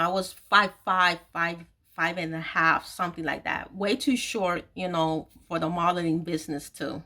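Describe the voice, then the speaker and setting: A woman's voice: mid-range voice, sharp tone. Emphatic woman telling her own story. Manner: emphatic, repeats phrases for stress; candid, confiding. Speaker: host of an online video series, author telling her own story. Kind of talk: personal storytelling to camera.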